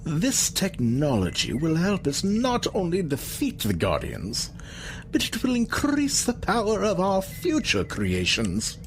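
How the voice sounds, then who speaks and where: A man voicing a pompous, scheming alien scientist in an audio drama, speaking in a drawn-out, theatrical way. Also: high-pitched, wildly swooping pitch, slight background noise, heavily acted. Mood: smug, scheming. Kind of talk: audio drama villain acting.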